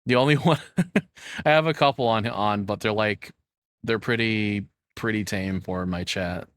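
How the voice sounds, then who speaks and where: A man's voice: on the low side, unhurried, fairly bright tone, dry recording, clean studio sound. A man chatting casually as a livestreamer, rambling and swearing in an informal way. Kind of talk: casual reaction stream chat.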